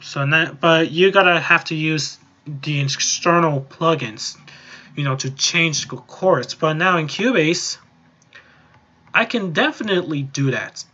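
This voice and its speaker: A man with a fairly high, forceful and bright voice talks through a casual software tutorial. He explains in a rambling way, circling back over his points. The recording is a little dull and lo-fi. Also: unhurried. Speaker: tutorial host.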